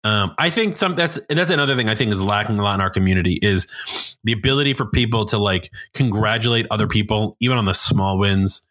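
A man from New York, a guest on a podcast, telling stories in a fast, animated way with lots of fillers. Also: lowish voice. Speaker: podcast guest, business owner. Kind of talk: casual podcast conversation.

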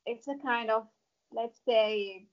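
A woman from Morocco, a mountaineer, answering interview questions in a measured way with fillers. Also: a little high, muffled recording. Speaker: mountaineer guest.

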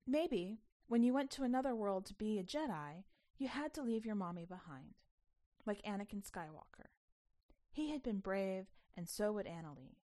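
A woman narrates a short story in a medium-pitched voice. Her delivery is expressive and emphatic, with a sense of childlike wonder in the phrasing. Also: moderate pace. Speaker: podcast host reading a short story.

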